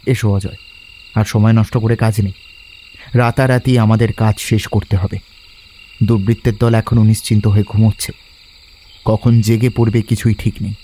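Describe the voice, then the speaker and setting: Man playing resolute young adventurer, muted dark tone. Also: on the low side, flat delivery, moderate pace, pauses often, slight background noise, close to an everyday voice. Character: Shankar, resolute quick-witted schoolboy adventurer leading his friend. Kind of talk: Bengali audio drama acting.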